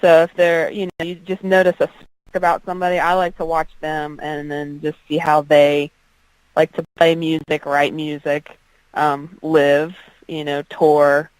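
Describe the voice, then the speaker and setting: A woman's voice: deep voice, flat delivery, measured pace, no echo, poor audio. A woman singer-songwriter with an alto voice, chatting in an interview with frequent ums and restarts. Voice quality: strong alto voice. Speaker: country singer-songwriter guest. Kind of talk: casual interview chat.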